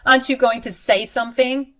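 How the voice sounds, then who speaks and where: A woman voicing a scheming, self-pitying older mother-in-law in a high, shrill, pleading voice. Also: steady tone, brisk, bright, forceful tone. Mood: indignant.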